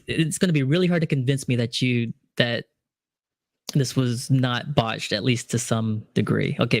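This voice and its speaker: A man's voice: medium pitch, dry recording, clean studio sound. A man hosting a podcast in a casual conversation, rambling with many fillers and restarts. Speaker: podcast host.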